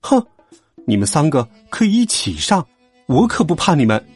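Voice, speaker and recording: A plucky young schoolboy from a children's audio story, acted out by a man. He puts up a brave front, and his pitch moves around freely. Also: sing-song, normal speed, husky, soft background music. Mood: defiant. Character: plucky, bluffing schoolboy hero.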